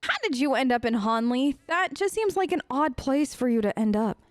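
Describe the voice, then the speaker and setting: A woman's voice: high voice, lively intonation, moderate pace, no room sound, clean studio sound. A woman hosting a role-play let's play, improvising her character's side of the dialogue in a chatty, conversational way. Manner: chatty, conversational, reacts with questions.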